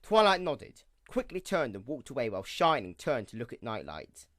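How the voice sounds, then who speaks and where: A man's voice: medium pitch, sing-song, normal speed, a bit bright, no echo, studio quality. A man reading scripted narration for an audio drama.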